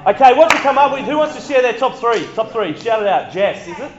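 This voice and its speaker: A man with a croaky voice, leading an interactive workshop talk before a live audience in a room. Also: extremely high pitch, sing-song, quick pace, crowd noise. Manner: chatty, upbeat, quizzes the audience. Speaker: workshop presenter on youth ministry. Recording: roomy, muffled room recording.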